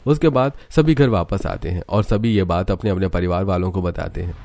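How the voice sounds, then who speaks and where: A man's voice: low-pitched, a little fast, warm tone. A man narrating a scripted cartoon village story as its storyteller.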